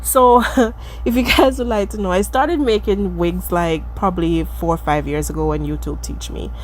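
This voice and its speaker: A woman's voice: fairly low pitch, fairly even intonation, moderate pace, a little hiss or hum, low-quality recording. A woman from the Caribbean with a stuffy, congested nose from allergies, talking viewers through a wig-making tutorial in a chatty, self-deprecating way. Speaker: beauty vlogger.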